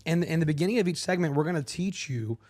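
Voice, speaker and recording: Man, thirties, hoarse and gravelly, fairly high voice, deadpan joking, clears throat a lot. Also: quick pace. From Florida. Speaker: podcast co-host. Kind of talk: casual comedic chat.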